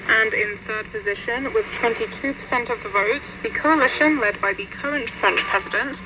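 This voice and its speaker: A woman's voice: a little high, moderate pace, lo-fi sound. A woman presenting the news from a script on a shortwave radio broadcast. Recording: noisy shortwave radio reception.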